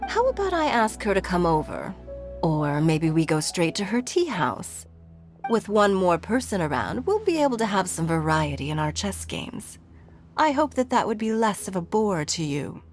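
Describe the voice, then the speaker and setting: Game role: confident, sly lady, mid-pitched, very expressive intonation, faint music behind. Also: moderate pace. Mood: teasing. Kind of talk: video game character dialogue.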